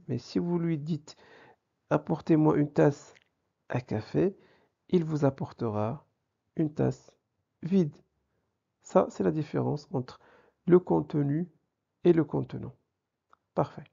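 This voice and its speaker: A man's voice: fairly high pitch, creaky, dark tone, husky. A man teaching a language lesson in a didactic way, posing questions and answering them himself, in a slightly dull recording. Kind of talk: grammar lesson with examples.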